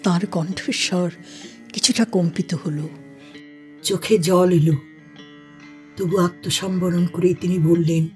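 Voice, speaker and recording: A woman's voice: on the low side, fairly even intonation, slow pace, pauses often, warm tone. Woman narrating a story over strings. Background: plucked string instrument music.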